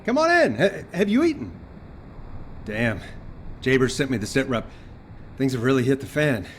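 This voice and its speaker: An actor playing a cheerful, scatterbrained young man in a video game, chatty, rambling and excitable. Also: mid-range voice, normal speed, distant mic, lightly acted. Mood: friendly, flustered. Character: cheerful, scatterbrained young male comrade fond of feeding people. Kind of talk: video game cutscene acting. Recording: off-mic, music or noise behind.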